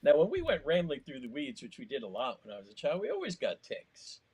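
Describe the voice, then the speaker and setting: A man in casual podcast chat, rambling and drifting between loud and quiet. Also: fairly high pitch, huge pitch swings, quick pace, slightly rough. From Massachusetts. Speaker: podcast co-host.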